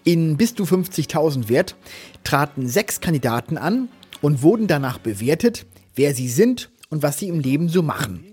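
A man hosting a TV critique show from a studio, presenting in a measured, analytical way. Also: on the high side, very animated, a little mellow, breathy edge, faint music behind.

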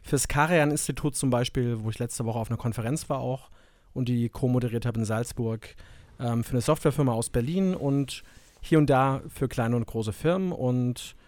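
A man with a faint Saxon accent, a guest chatting casually on a podcast and often pausing with hesitation fillers. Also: mid-range voice, dry recording. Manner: relaxed, lots of hesitation fillers. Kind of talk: casual conversation.

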